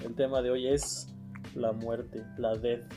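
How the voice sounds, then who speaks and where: A Mexican man hosts a loose, jokey chat show and teases his guests. His pitch sits in the middle. Faint music plays underneath. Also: level tone, slow pace, dark tone. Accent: Mexican Spanish. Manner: joking, playful, swears casually. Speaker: host. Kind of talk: casual comedy chat show.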